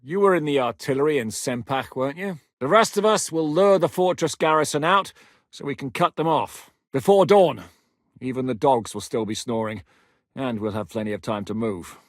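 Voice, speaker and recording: An actor playing a gruff, blunt, sweary rebel leader in a video game, giving curt orders. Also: male voice, mid-range voice, normal speed, no room sound, clean studio sound, close to an everyday voice. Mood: commanding. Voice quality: gruff, commanding. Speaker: game character.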